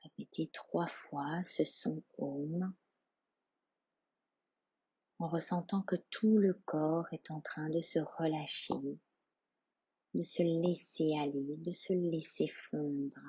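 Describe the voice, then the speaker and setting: Low, breathy woman guiding meditation slowly. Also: dry recording, highs cut off. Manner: hushed, calm, soothing, with long pauses. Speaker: yoga nidra instructor. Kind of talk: guided meditation, soft slow instruction.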